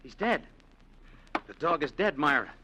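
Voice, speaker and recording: An actor playing a scheming nephew plotting murder in 1940s radio-drama style, clipped and coldly insistent. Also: male voice, on the high side, big pitch swings, a little slow, background noise. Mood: tense.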